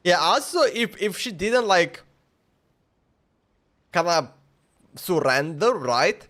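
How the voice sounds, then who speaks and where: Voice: mid-range voice, very animated, slow pace, fairly bright tone. A streamer giving casual live commentary on a game in short, offhand, chatty reactions.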